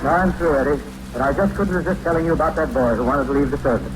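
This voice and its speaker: An actor as a high-voiced, theatrical old-time radio storyteller. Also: male voice, fast, heavy background noise, lightly acted. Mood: whimsical, grandiose. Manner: whimsical, theatrical, pedantic, florid. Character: genial older storyteller spinning tall tales to a lad. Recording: old radio recording with music.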